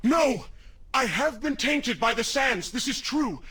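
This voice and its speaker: A man's voice: very high voice, lively intonation, measured pace, pushed and bright, husky, heavily acted. A man playing a desperate, cursed warrior prince in a video game, intense and dramatic. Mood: pleading.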